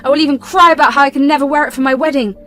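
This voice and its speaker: A woman voicing a spiteful, manipulative young woman who talks loud, shrill and taunting. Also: expressive, brisk, soft background music, lightly acted. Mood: furious.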